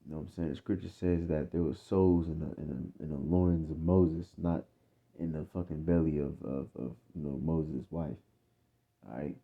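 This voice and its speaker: Deep-voiced American man, muffled phone-like sound. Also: steady tone, moderate pace, vocal fry, husky. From United States. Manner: blunt, profane, repetitive street-talk delivery with frequent check-in phrases. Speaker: religious teacher. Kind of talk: informal off-the-cuff religious teaching.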